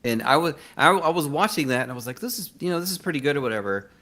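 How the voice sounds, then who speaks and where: A man chatting casually, rambling and halting as he hunts for his words. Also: fairly high pitch, expressive, bright, forceful tone. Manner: halting, rambling, filler-heavy. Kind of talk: casual chat.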